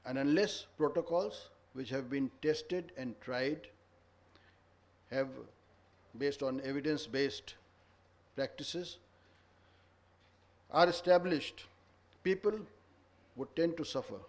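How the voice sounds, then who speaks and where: A man's voice: on the high side, slow, long pauses. Earnest man giving a formal address. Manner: earnest, lecturing, stressing each point. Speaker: conference speaker. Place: conference hall.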